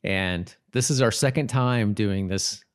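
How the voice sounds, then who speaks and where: A man's voice: lowish voice, unhurried, smooth. A man hosting a podcast, chatting casually and giving commentary on an episode. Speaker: podcast host.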